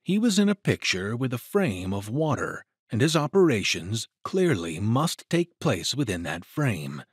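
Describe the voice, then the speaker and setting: A man's voice: mid-range voice, sing-song, a little slow, few pauses, slightly rough, no room sound, studio quality. A man narrating a scripted adventure story in a dramatic style, as the narrator of an audio drama.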